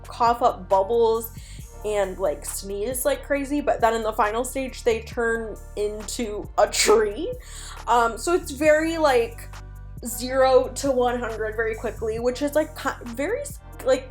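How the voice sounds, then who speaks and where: A woman giving casual, chatty commentary as a video host, speaking with enthusiasm and emphasis. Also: a little high. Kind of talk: casual commentary on an online animated horror series.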